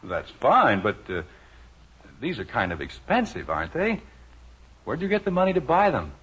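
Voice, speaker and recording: A man's voice: mid-range voice, wildly swooping pitch, moderate pace, fairly bright tone, husky, exaggerated voice. A middle-aged American man playing a genial, wryly joking suburban father in a 1950s radio sitcom. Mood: wry, uneasy. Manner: wry, hesitant with frequent hemming. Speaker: lead actor in radio sitcom. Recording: muffled old radio recording, faint noise.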